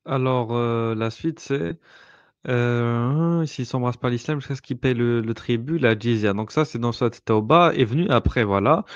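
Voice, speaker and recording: A man talks through a subject in a relaxed discussion, pausing often and restarting his sentences as he explains. His voice comes through a muffled, lo-fi recording with no room echo. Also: medium pitch. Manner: hesitant, many fillers and restarts, explaining patiently. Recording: dull lo-fi sound, dry.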